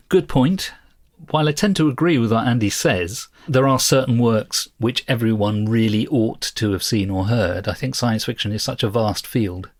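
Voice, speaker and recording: A man with a fairly low voice talks casually as a podcast host. His delivery is measured and thoughtful, and the sound is dry and close. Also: no room sound.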